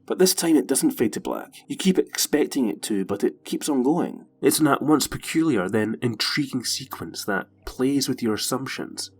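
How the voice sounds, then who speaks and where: A man's voice: medium pitch, sing-song, moderate pace, few pauses. A man delivering scripted narration as the presenter of a video essay.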